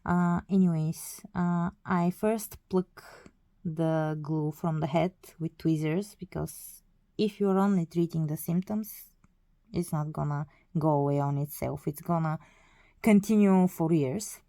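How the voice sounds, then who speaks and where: A woman's voice: sits low, fairly even intonation, measured pace, warm tone, no echo. A woman whose first language is not English, explaining a hobby process in a casual, hesitant way with many fillers and self-corrections. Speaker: doll collector. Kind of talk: casual tutorial.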